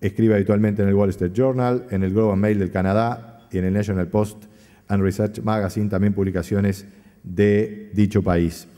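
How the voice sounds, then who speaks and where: A middle-aged man from Uruguay at a podium in a large conference hall, formally reading out a guest speaker's biography with pauses and self-corrections. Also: fairly low pitch, flat delivery, warm tone. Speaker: conference moderator. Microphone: podium microphone. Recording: long hall reverb.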